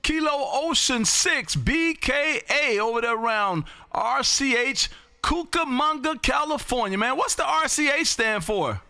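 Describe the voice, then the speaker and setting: Loud, bright, sing-song ham radio man. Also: very high voice, lively intonation, unhurried, no echo. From Texas. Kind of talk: live radio calls. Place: home radio room. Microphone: studio boom mic, headphones.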